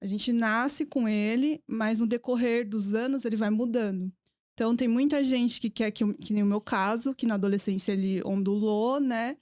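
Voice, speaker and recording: A woman's voice: fairly high pitch, moderate pace, a little mellow, no room sound, studio quality. A woman who grew up between Brazil and Japan, a podcast guest telling stories in a hesitant, laughing way. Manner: storytelling, hesitant, laughs often. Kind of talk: casual conversational interview.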